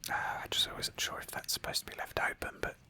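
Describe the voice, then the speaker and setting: A woman whispering breathily in a hushed, thoughtful ASMR style as she narrates a roleplay game playthrough as its host. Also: fast talker.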